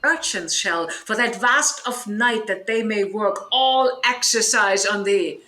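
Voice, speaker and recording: A woman's voice: medium pitch, slow pace, pushed and bright. An actress playing a stern, imperious sorceress and mother in a Shakespearean audio drama, with declamatory delivery. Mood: scornful.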